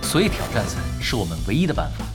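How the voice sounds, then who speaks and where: An actor playing a calm, confident young male pro gamer in an audio drama, unhurried and explanatory with light teasing. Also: on the low side. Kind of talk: Chinese audio drama acting. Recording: studio voice over clear background music.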